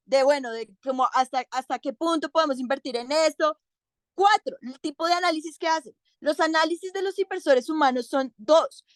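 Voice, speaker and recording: A woman's voice: sits high, no echo. A young woman giving a competitive debate speech, emphatic and counting her points off one by one. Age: young adult.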